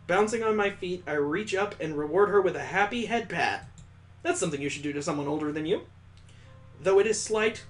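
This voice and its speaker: A man with a slightly high voice hosts a let's play, reading a visual novel aloud. He drops in playful, sarcastic comments, over some faint background noise.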